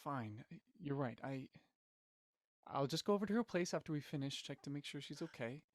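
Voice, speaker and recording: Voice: mid-range voice, lively intonation, moderate pace, no room sound, natural voice. An actor playing a worried, terse young member of a radio troupe in a naturalistic audio drama. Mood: resigned, terse.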